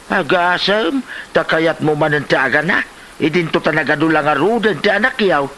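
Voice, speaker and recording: A man playing a sober, advising older village father figure in a comedy drama, measured and admonishing, with a Filipino accent. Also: on the high side, expressive, pushed and bright, a little hiss or hum. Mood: admonishing, earnest. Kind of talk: Ilocano comedy drama acting.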